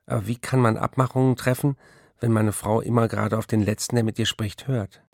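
A man's voice: on the low side, steady tone, a little fast, gravelly, darkish tone, no room sound. An actor playing an embittered, weary male writer who reads out his letters in a radio drama. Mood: matter-of-fact, aggrieved.

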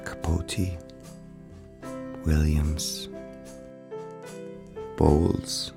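A man's voice: rumbling low, level tone, drawn-out words, gravelly, music in the background, exaggerated voice. An actor playing an infatuated young poet in a radio play, murmuring and often whispering his brooding inner thoughts. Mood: dreamy musing.

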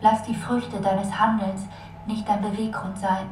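A woman's voice: mid-range voice, flat delivery, unhurried, darkish tone, natural voice. A woman playing a haunting, searching inner voice, speaking oracular lines in a poetic radio play. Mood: searching, incantatory. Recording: roomy, distant voice over faint music.

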